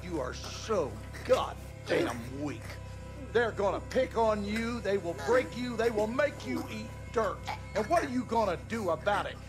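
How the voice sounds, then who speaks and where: Mean bitter southern dad, highish voice, coarse n threatening, game cutscene w music. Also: male voice, animated, unhurried. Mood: furious contempt. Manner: menacing, coarse, folksy cursing. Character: abusive, bigoted, bitter Southern father and husband. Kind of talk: dramatic video game acting.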